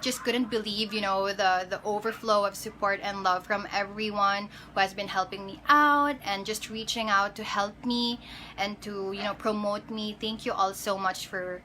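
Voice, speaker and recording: A woman's voice: mid-range voice, steady tone, normal speed, sharp tone, slight background noise. A young woman in her twenties with a Filipino accent, chatting casually on a livestream, greeting viewers by name and slipping into Tagalog. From Philippines. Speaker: pageant candidate.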